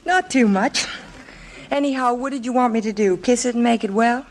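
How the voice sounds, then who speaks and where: An actress playing a sensible, dryly teasing wife in a 1950s radio comedy, with a matter-of-fact manner. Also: female voice, on the high side, normal speed, lightly acted. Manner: matter-of-fact, dry, questioning. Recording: noisy vintage 1950s radio broadcast, clicks.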